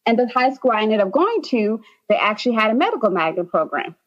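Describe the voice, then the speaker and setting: A woman's voice: a little high, sing-song, fast talker, muffled recording. A young woman from Haiti, a nurse practitioner, chatting as a podcast guest with many "um"s and "like"s. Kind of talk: casual interview.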